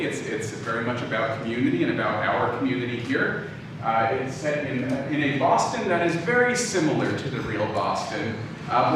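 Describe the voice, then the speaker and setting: A man giving an informal lecture to an audience, speaking in a hesitant, halting, low-key way. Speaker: guest lecturer, audio drama producer. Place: echoey room, live talk before an audience. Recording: echoey room, distant, clearly noisy background.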